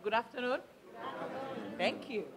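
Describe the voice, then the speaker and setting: A woman's voice: a little high, a little slow, crowd noise. A woman from Gambia hosting a press conference, with a big projecting voice and an upbeat, chatty manner.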